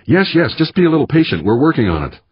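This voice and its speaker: A man's voice: fairly low pitch, lively intonation, quick pace, breathy edge, no room sound, dull audio. A man voicing a testy, impatient woman spaceship skipper who barks and scolds in audiobook dialogue. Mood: exasperated. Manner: sharp, barking, scolding.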